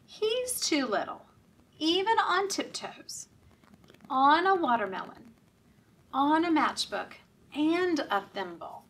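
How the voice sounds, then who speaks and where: Female children's storytime reader: very measured pace, animated, participatory delivery, slightly distant from the microphone. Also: high-pitched. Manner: animated, interactive, asking children questions and prompting actions. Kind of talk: expressive picture-book read-aloud to young children. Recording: voice at a distance from mic.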